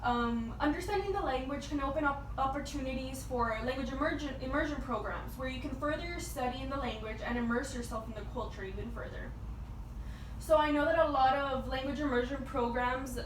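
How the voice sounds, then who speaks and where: Young woman's high-pitched speech, echoey and distant. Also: normal speed. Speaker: student speaker. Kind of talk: prepared persuasive speech to an audience. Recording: echoey, distant mic with background noise.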